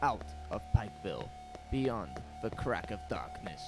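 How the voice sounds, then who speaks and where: A man's voice: on the low side, very animated, measured pace, music in the background. A man narrating a scripted horror story in a grim, dramatic manner.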